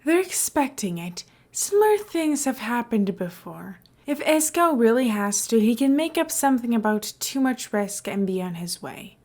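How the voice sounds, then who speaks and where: A woman's voice: fairly high pitch, very animated, normal speed, few pauses, a little mellow. A woman voicing a glib, scheming male mayor in an audiobook, with a nonchalant, playful and emphatic delivery.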